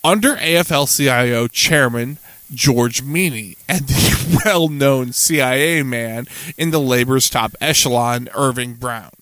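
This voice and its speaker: A man's voice: medium pitch, unhurried, fairly bright tone, no room sound. A man co-hosting a casual political podcast, blunt and swearing, stumbling over hard words as he reads aloud. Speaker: podcast co-host.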